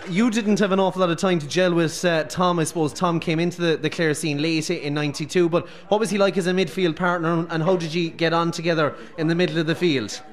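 A middle-aged Irish radio host interviewing guests live from a busy pub on a close handheld mic. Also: male voice, a little high, fairly even intonation. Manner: brisk, leading questions.

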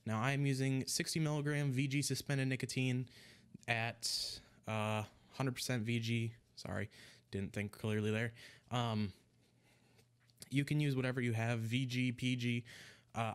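A young man casually walking through a recipe in a home vlog, sounding a little congested, relaxed and rambling, often restarting his words. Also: medium pitch, clean tone. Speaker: e-liquid mixing vlogger. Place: small room at home, indoors. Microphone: desk microphone in front of him.

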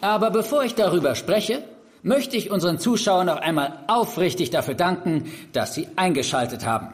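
Casting: zealous online reporter, high lively voice, insistent speechmaker who stumbles under pressure, audio drama. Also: male voice, moderate pace. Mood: fervent, preachy. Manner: insistent, speechifying, stammers when challenged. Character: earnest, combative male internet reporter and conspiracy crusader. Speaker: talk show guest. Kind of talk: audio drama acting as a TV interview guest.

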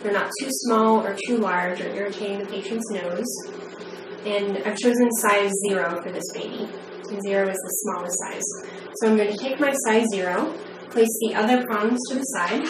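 A woman in her thirties giving a measured, step-by-step training demonstration in the manner of a methodical instructor. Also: medium pitch. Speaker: medical device trainer. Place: small plain indoor room. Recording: echoey room, distant mic, background noise.

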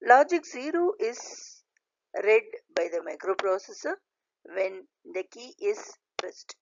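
A woman lecturer reading a scripted slide lesson aloud in a deliberate, halting way, in short phrases. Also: medium pitch, sing-song, no echo. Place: voice-over recorded over slides. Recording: dull, lo-fi voice recording.

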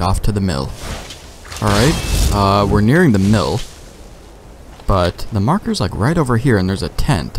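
Low-voiced man doing casual gameplay commentary. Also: slight background noise. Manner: laid-back, sweary, thinking aloud. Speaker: gamer, let's play host.

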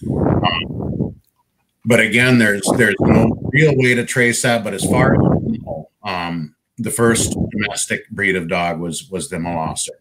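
Middle-aged man, dog breeder guest on a video call, faint background hiss, rambling and unhurried. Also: on the low side, fairly bright tone, low-quality recording. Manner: rambling, thinking aloud, many ums. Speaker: guest, mastiff breeder and advocate. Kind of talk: casual video-call chat. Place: living room indoors.

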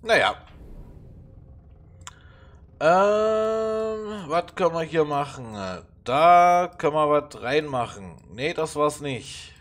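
Slow, musing man with a stuffy nose. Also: on the high side, animated. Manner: halting and musing, with many fillers. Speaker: let's play gamer. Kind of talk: casual puzzle game commentary.

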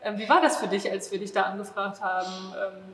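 A woman's voice: medium pitch, fairly even intonation, a little fast, a bit bright, slight background noise. A young woman hosting an interview, asking questions in a cheerful way with light laughter. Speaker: interviewer, host. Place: large empty restaurant hall, indoors. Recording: echoey hall.

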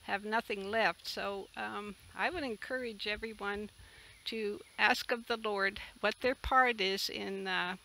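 A woman in her seventies from the Netherlands, a native Dutch speaker, giving a halting, earnest personal testimony. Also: mid-range voice, steady tone, pushed and bright, low-quality recording. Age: 70s. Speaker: interviewee, retreat camp owner. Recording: clear background noise, outdoor ambience.

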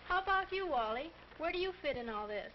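A woman's voice: sits high, expressive, fairly quick. A young woman playing a polite, friendly, sensible teenage girl in a 1940s instructional film. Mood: friendly, helpful. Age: young adult. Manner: neat, courteous. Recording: muffled, noisy 1940s film soundtrack.

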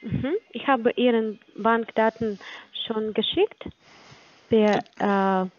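Halting young woman on a dull phone line. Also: on the high side, fairly even intonation. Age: 20s. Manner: halting, hesitant, broken phrasing. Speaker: phone caller posing as finance company agent. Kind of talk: phone conversation. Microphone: phone call.

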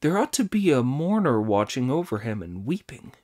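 A man voicing a brash, cheeky monkey king who talks high and loud in an audiobook. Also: sing-song, moderate pace. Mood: eager. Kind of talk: audiobook character voice.

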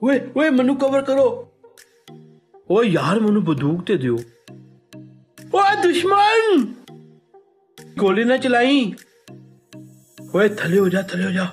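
Excitable punjabi soldier for a game, high voice, slow drawn out yells, music under it. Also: male voice, husky, exaggerated voice. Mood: alarmed, frantic. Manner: exclamatory, drawn-out interjections. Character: excitable Punjabi male soldier barking squad commands. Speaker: game voice pack performer. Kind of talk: game voice command callouts.

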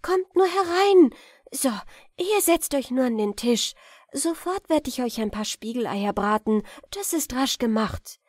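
A woman's voice: high-pitched, animated, a little slow, a little mellow, no echo, studio quality, pitched above the performer's own voice. A woman voicing a kind, forthright young peasant woman in a fairy-tale audiobook, eager and plain-spoken. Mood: warm, bustling.

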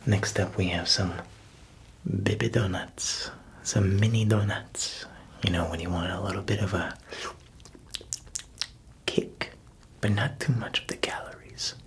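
A man's voice: deep voice, fairly even intonation, vocal fry. A man doing a whispering, chuckling baker. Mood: playful. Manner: whispered, slow, with soft chuckles; fumbles for words as if foreign. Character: chuckling male baker, proud of his goods. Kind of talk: ASMR roleplay.

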